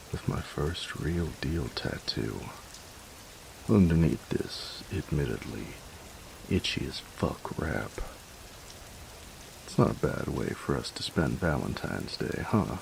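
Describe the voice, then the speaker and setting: An actor playing a gruff young bully boyfriend, teasing but secretly tender, in an intimate audio roleplay. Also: male voice, a bass voice, heavily acted, poor audio. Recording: hiss with rain sounds behind. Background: steady rain.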